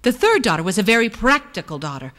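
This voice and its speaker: A woman telling a children's fairy tale in an expressive, deliberate, dramatic storytelling manner. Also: medium pitch. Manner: dramatic, deliberate, acts out characters.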